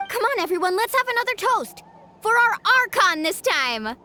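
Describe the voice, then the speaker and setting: An actress voicing a small, cheerful, excitable girl in a video game, bubbly and wide-eyed in manner. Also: extremely high pitch, normal speed, background noise. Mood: festive.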